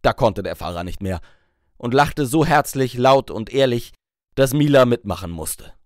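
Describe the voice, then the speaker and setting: A man reads a comic novel aloud. His voice sits at a medium pitch for a man. The sound is very dry, with scarcely any room on it, and the delivery is that of scripted audiobook narration. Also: expressive, normal speed, no room sound.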